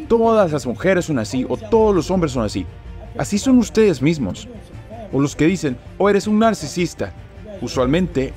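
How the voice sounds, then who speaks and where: A man giving a dubbed motivational speech in an earnest, emphatic tone, recorded as a studio voice-over. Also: fairly high pitch. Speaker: motivational speaker. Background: soft background music.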